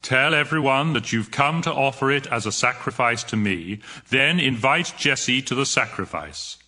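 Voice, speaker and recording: An actor playing God in a dramatized scripture reading, with a firm, authoritative delivery. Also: male voice, medium pitch, lively intonation, normal speed, pushed and bright. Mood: firm instruction.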